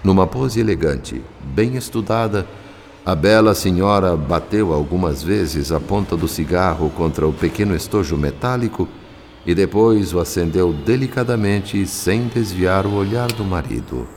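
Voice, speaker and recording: A man with a Brazilian accent narrating a literary radio drama in a measured, theatrical way with precise diction. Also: low voice, expressive, darkish tone, a little hiss or hum. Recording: long reverb, music underneath. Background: music.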